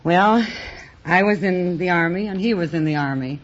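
A woman's voice: on the low side, moderate pace. Female American contestant, conversational radio storytelling, muffled vintage recording. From Chicago, USA. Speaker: quiz show contestant. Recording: dull old radio recording.

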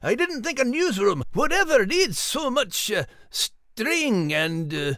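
A man playing a blunt, hearty, unpolished Scottish animal in a children's audio drama, with a gravelly voice and a Scottish accent. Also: very high voice, big pitch swings, slow pace, bright, forceful tone, dry recording, clean studio sound, full character voice. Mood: awkward, hedging. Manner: plain-spoken, stumbling bluntness.

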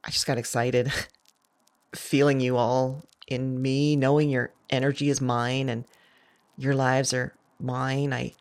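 A woman acting a searching, anxious fugitive visionary who talks to spirits, halting and correcting herself in an audio drama. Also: a bass voice, level tone, full character voice. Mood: sheepish, earnest. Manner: halting, self-correcting, repeats words. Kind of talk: naturalistic audio drama monologue.

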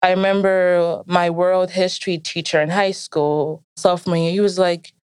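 A woman's voice: fairly low pitch, level tone, moderate pace, a little mellow, clean tone, dry recording. A young woman from Nigeria and the United States, speaking in an American accent with Nigerian traces as she tells reflective personal stories in an interview. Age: young adult.

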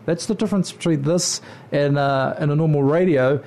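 A man with a New Zealand accent hosting a podcast in casual, relaxed talk with many hesitations. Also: on the high side, normal speed. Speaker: podcast host. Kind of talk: casual interview chat.

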